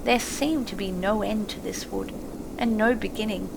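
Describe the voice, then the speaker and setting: A woman's voice: medium pitch, fairly even intonation, normal speed. A woman narrating an audiobook story expressively from a script. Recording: clearly noisy, ambient effects behind. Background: ambient sound effects.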